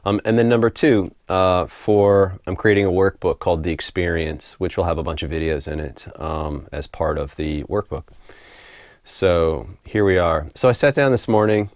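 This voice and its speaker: A middle-aged man with a hoarse voice from a head cold, talking to camera at home in a relaxed, rambling way, often repeating words as he gathers his thoughts and laughing softly now and then. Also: low voice, moderate pace, no echo, studio quality. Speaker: spiritual author and video host. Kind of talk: casual unscripted monologue to camera. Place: home room.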